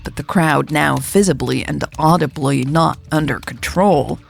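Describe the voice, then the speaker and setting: A woman's voice: sits low, creaky, heavily acted. A woman playing a formal police officer, narrating an incident report in a factual, detached manner for an audio drama.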